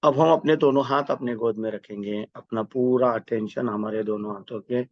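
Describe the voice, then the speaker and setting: A man's voice: medium pitch, fairly even intonation, normal speed, no room sound. Man guiding meditation, dull dry recording. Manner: reverent, instructional. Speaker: meditation guide. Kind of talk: guided meditation with spoken prayers.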